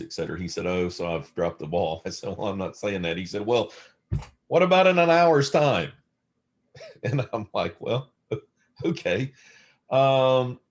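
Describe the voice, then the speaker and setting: A mature man with a low, deep voice answers as an interview guest. He speaks slowly and reflectively, choosing his words haltingly as he tells casual stories. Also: gravelly, darkish tone. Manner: hesitant, measured, halting delivery.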